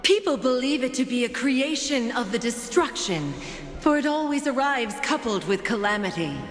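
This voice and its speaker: A woman acts out a merciless female judge from a video game, grand and solemn in her archaic phrasing. Her fairly high voice moves widely in pitch. It rings with echo over music. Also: lively intonation, normal speed, lightly acted. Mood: ominous, exalted. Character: imperious female judge bestowing merciless punishment. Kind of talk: scripted game voice acting, ceremonial monologue. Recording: echoey hall reverb under game music.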